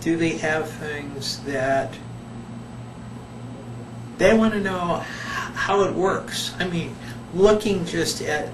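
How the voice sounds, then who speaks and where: An older man, a retired psychologist, answering interview questions slowly and thoughtfully, pausing often. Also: a little high. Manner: slow, thoughtful, with many pauses and fillers. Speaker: retired behavior psychologist, volunteer. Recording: echoey room, mic at a distance, background noise.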